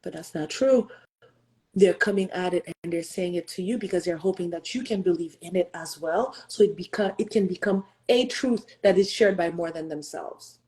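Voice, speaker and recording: An earnest woman in her thirties gives a motivational talk with a mellow, warm tone. She leans on emphatic rhetorical questions to push her listeners, and now and then slips into French and Haitian Creole. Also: lowish voice, moderate pace. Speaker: host.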